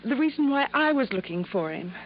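A woman's voice: a little high, sing-song, a little fast. An actress playing an earnest, devoted young American niece in a 1950s radio drama, pleading emotionally for her uncle. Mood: relieved, hesitant. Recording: dull, noisy 1950s radio recording.

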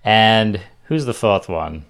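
A man's voice: lowish voice, expressive, slow, fairly bright tone, clear, resonant tone. A man hosting a card game discussion, giving casual, conversational commentary and explaining his takes.

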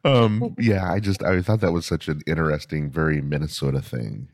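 Deep, husky-voiced male podcast host. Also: dry recording, clean studio sound. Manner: chatty and animated, with quick tangents. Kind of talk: scripted intro, then casual interview chat.

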